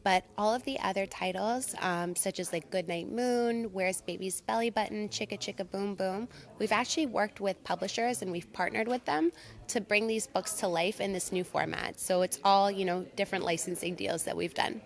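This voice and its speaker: A woman's voice: medium pitch, normal speed, vocal fry. A woman speaks as the creator of a product in an interview. Her delivery is conversational, with an upbeat, enthusiastic way of explaining things.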